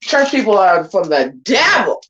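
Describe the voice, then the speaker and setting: A woman's voice: medium pitch, very animated, moderate pace, a bit bright, muffled recording. A woman in her forties chatting casually to her viewers while getting ready, sassy and full of slangy asides. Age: 40s. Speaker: vlogger. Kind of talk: casual get-ready-with-me chat.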